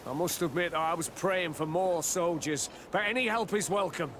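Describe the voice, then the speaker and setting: Man as worried, higher-voiced outpost officer. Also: moderate pace, background noise, lightly acted. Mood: anxious, urgent. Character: worried male outpost officer, dutiful soldier under siege. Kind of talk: video game cutscene acting.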